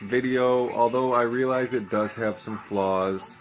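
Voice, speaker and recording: A young man vlogging in a casual, low-energy, nearly monotone way, with many ums and restarts. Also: unhurried, soft background music. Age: young adult. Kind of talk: casual vlog talk to camera.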